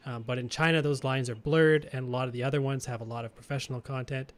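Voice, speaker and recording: A man's voice: medium pitch, steady tone, fast talker. A Canadian man co-hosting a marketing podcast, chatting casually and thinking aloud, with frequent restarts and chuckles. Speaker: podcast co-host.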